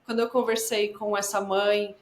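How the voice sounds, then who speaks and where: A Brazilian woman host telling anecdotes in an animated, conversational way. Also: mid-range voice, normal speed, roomy sound, crowd noise. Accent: Brazilian Portuguese. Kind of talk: conversational video call discussion.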